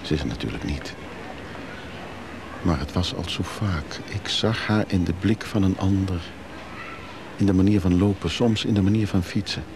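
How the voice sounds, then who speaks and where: Remorseful, brooding father for radio drama; very low, creaky voice, reflective delivery, noisy old recording. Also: moderate pace, pauses often. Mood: wistful. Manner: reflective, melancholy. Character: brooding father and husband, traveler, craving drink, remorseful. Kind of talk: radio play acting, confessional monologue.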